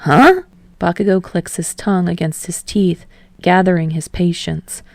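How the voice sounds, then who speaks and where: Female audiobook narrator: dark, relaxed tone with noticeable creak, scripted storytelling. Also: lowish voice, normal speed, no room sound. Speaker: podfic narrator.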